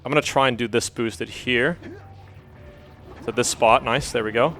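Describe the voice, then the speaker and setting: A man's voice: mid-range voice, normal speed, a bit bright, faint music behind. A man from Denmark giving casual, chatty live commentary as a speedrunner, with an easygoing manner.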